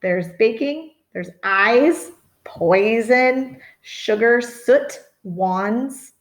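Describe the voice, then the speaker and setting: A middle-aged woman reading a children's picture book expressively, with dramatic emphasis and chatty asides. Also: on the high side. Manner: animated, deliberate, dramatic emphasis. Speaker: storytime reader.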